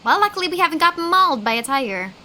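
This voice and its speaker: A woman's voice: extremely high pitch, sing-song, rapid-fire, sharp tone. A young woman giving casual let's play commentary as a gaming streamer, reactive, playful and joking. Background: faint game music.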